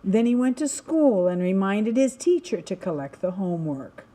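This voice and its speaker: A woman narrating a children's story in an expressive, dramatic way, stretching words for emphasis. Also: mid-range voice, normal speed. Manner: animated, dramatic delivery with emphatic word stretching. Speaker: storybook reader.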